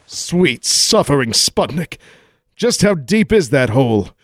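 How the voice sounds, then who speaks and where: An actor playing a sarcastic, folksy, unflappable adventurer hero in a comic 1940s-style radio play. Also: male voice, a little high, big pitch swings, slow pace, noisy background. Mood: deflated, wry.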